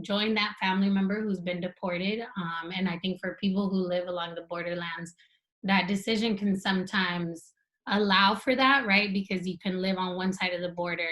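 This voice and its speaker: A young woman from Southern California and the border region, a native Spanish speaker, telling her family story in a steady conversational way as a panel guest. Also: fairly low pitch, poor audio. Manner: clear, steady, conversational with frequent fillers.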